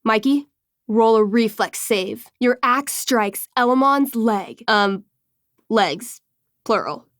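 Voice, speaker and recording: A wry teenage game master, closely recorded. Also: female voice, on the high side, animated, takes it slowly, a bit bright, dry recording, studio quality. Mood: dramatic, suspenseful. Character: friendly, theatrical teenage girl running a tabletop fantasy game. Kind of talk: dramatic tabletop storytelling and casual chat.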